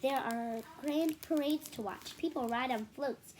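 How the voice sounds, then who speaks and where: High-voiced woman reading to children. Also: measured pace, background noise. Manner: explanatory, checking in with young listeners. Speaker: read-aloud storyteller for children. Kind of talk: reading a children's picture book aloud with casual asides.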